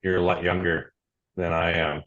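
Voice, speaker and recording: Guy in his 40s, really low voice, laid-back and hesitant, kinda muffled audio. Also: normal speed. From Canada, Alberta. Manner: relaxed, many "um"s, trails off with "and stuff". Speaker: company founder, guest. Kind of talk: casual interview chat.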